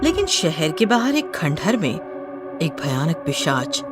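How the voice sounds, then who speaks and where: A woman's voice: deep voice, sing-song, unhurried, loud music behind the voice. A woman giving dramatic scripted narration of a horror story.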